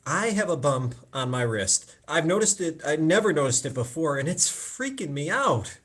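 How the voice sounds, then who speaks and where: A woman's voice: sits low, big pitch swings, normal speed, slightly rough, full character voice. A woman playing a fretful, exasperated, stubborn patient in a short play read over a video call. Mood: worried. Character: anxious, stubborn patient, a middle-aged mother fretting over her wrist. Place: indoors, remote video call performance.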